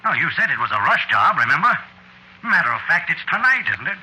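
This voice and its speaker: Cheerfully morbid coffin salesman, very sharp bright voice, lively swooping delivery, fussy hesitations, unctuous chuckles, old radio drama. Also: a little high, quick pace, full character voice. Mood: apologetic, polite. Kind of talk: vintage radio drama acting. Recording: noisy vintage radio recording.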